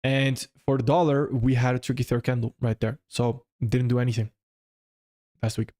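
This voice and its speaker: Young non-native English-speaking man, live-stream host answering chat, loose and rambling, mid-pitched voice. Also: flat delivery, moderate pace, warm tone. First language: not English. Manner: loose and conversational, frequent restarts and "if that makes sense".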